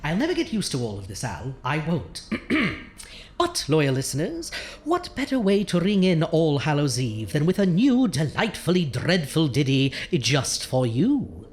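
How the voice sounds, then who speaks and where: A woman's voice: sits low, huge pitch swings, a little slow, a little mellow, a little hiss or hum, exaggerated voice. A woman playing a playful, wry, ghoulish horror hostess in a radio drama, theatrical and arch with chatty asides. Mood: campy welcoming. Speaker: host.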